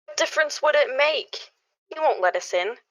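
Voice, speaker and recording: A woman's voice: high-pitched, animated, normal speed, dry recording. A woman playing a level-headed but exasperated neighbor in a radio play, insistent and reproachful. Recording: dull lo-fi audio.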